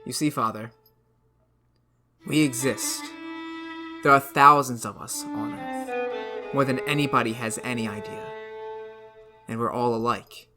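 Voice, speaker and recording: A man acting an undead son who has come back as a wolf, speaking quietly and ominously in a radio drama. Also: medium pitch, fairly even intonation, a little slow, long pauses, over music, close to an everyday voice. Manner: quiet, soft, ominous and regretful. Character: undead young man returned as wolf, eerie calm.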